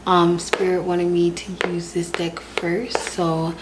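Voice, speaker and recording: Hoarse, stuffy woman reading tarot. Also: on the low side, slow, background noise. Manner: calm, repetitive, soft-spoken delivery. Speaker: tarot reader.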